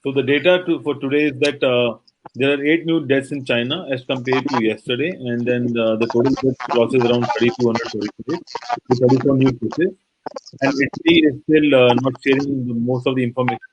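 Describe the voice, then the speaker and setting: A man's voice: mid-range voice, steady tone, brisk, noisy background. A man reading out statistics hesitantly, with many fillers. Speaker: data presenter.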